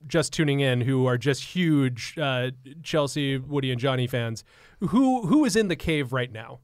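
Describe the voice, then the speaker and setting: Lively, playful male podcast co-host. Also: mid-range voice, a little slow, no room sound, studio quality. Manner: playful, jokey asides. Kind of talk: casual podcast chat.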